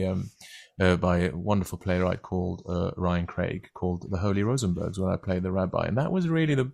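Deep gravelly Turkish-born man, halting. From Turkey. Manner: halting, many restarts and fillers. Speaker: guest actor. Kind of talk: casual conversation.

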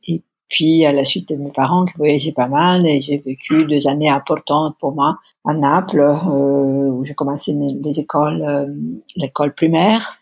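A woman's voice: low-pitched, creaky, darkish tone, breathy edge, dry recording, low-quality recording. A middle-aged woman from Italy, speaking with an Italian accent in a podcast interview, hesitant and often searching for words. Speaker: interview guest.

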